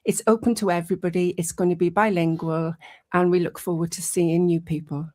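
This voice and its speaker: A woman's voice: fairly low pitch, fairly even intonation, a little fast, dark tone. A woman, a radio guest speaking in an upbeat, friendly way. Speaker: studio guest, event organiser. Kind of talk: radio interview.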